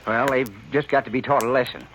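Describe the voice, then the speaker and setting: An actor playing a nervous, henpecked small-town husband with an American accent, stammering earnestly in a 1950s radio western. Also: male voice, mid-range voice, fairly even intonation, quick pace, a bit bright, natural voice. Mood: nervous pleading. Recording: faintly noisy 1950s radio broadcast.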